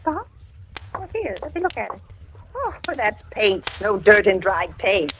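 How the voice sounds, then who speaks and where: A woman's voice: mid-range voice, very animated, a little slow. An actress playing a kindly, nervous middle-aged housewife in 1940s radio style, motherly, hesitant and placating. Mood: patient, flustered. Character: kindly, nervous older housewife, widening unease. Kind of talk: 1940s radio acting, with first-person narration. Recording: noisy old radio recording with clicks.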